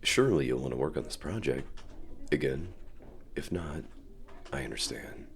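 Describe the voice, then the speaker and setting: A man playing a suave, dominant company CEO in an ASMR roleplay, speaking to the listener with measured, polite, quietly controlling reassurance and deliberate pauses. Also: rumbling low, animated, vocal fry, full character voice. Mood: persuasive, confident. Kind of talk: ASMR roleplay acting, addressing the listener directly.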